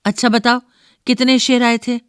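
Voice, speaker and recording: A woman's voice: on the high side, normal speed, clear, resonant tone, dry recording, clean studio sound, voice pushed up, natural voice. A woman voicing an eager, impatient young grandson who is easily annoyed, in a storybook reading. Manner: insistent, excitable.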